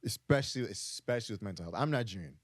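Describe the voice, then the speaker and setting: A man's voice: on the low side, animated, fast, dry recording. A young man from Brooklyn, a guest on a podcast, talking candidly and earnestly in casual conversation. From Brooklyn, United States. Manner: candid, earnest, talks through ideas with restarts.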